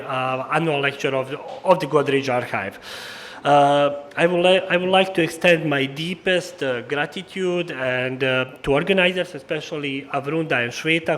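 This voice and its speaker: A man with a Slavic accent delivers a formal historical lecture. His voice sits somewhat higher than average for a man. He speaks haltingly, often stumbling and repeating words as he searches for the next phrase. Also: fairly bright tone. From former Yugoslavia. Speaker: historian giving an annual lecture.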